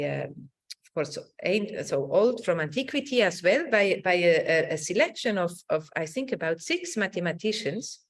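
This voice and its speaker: A middle-aged woman giving a semi-improvised academic talk over a video call, haltingly searching for words and checking with a questioning tag. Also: lowish voice. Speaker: academic lecturer. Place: indoor room, online presentation.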